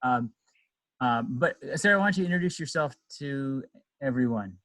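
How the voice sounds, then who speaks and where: A man's voice: mid-range voice, expressive, moderate pace, fairly bright tone. A man runs a casual club meeting over a video call. He talks in an easygoing, joking way and calls on each member in turn to introduce themselves. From Minnesota. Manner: friendly, joking, asks each person in turn.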